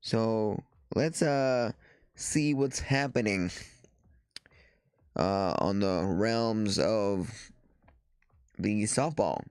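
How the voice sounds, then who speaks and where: A man hosting a softball show, talking casually and reading news aloud, with a stuffy nose after the flu and a halting delivery full of fillers and restarts. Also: on the low side, clean tone, no echo, clean studio sound.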